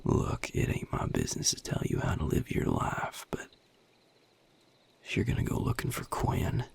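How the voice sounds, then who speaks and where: A man playing a weary, protective vampire sire in an intimate audio roleplay, with a Southern US accent, a husky, gravelly low voice and a folksy, guarded drawl. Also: normal speed, close to an everyday voice. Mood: wary, firm. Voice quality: very deep, gravelly, husky and breathy. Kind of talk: audio roleplay drama, intimate one-sided dialogue.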